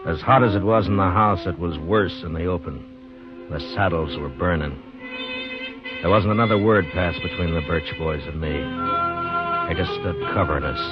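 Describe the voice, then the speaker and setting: An American actor playing a calm, duty-bound frontier marshal in a 1950s radio western, measured and understated. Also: male voice, deep voice, close to an everyday voice. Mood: grave, reflective. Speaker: lead actor and narrator of the story. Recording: dull vintage 1950s radio recording, music.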